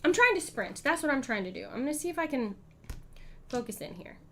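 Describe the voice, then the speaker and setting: High-voiced woman streamer thinking aloud. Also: big pitch swings, a little fast, fairly bright tone, a little hiss or hum. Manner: chatty, thinks aloud, frequent ums and throat clearing. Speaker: streamer playing a board game. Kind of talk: casual live-stream play-through.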